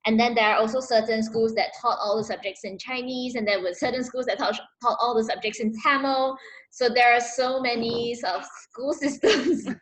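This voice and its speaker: Young female dancer, higher register, relaxed and chatty over a video call with frequent fillers. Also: moderate pace, low-quality recording. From Malaysia. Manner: relaxed, laughs often, many fillers. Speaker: interviewee, dancer. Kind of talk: casual interview answers.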